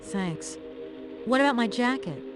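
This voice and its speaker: A woman's voice: on the high side, expressive, a little slow. A woman acting a determined, independent young adventurer in a video game scene, speaking firmly and with self-assurance. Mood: resolute. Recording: game music behind.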